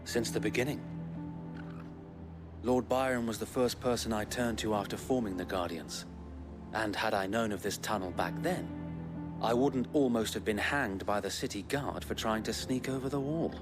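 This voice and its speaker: An actor playing a loyal, battle-weary resistance knight in a fantasy game, earnest and formal with a rough soldier's tongue. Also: male voice, lowish voice, moderate pace, close to an everyday voice. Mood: earnest, grave. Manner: earnest, rousing, formal speech with some coarse swearing. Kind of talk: dramatic fantasy game acting. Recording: orchestral game music behind.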